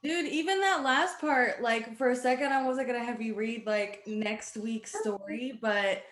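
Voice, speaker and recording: The room echoes noticeably around this woman. She hosts in a casual, chatty style, with a fairly high voice. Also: measured pace, fairly bright tone. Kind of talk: casual chat. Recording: roomy, echoing sound.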